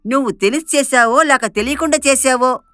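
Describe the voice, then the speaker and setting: A woman voicing a harsh, contemptuous lady of the house in an animated moral story, bossy and berating. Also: high-pitched, a little fast, clean tone, dry recording, faint music behind. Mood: angry, scornful.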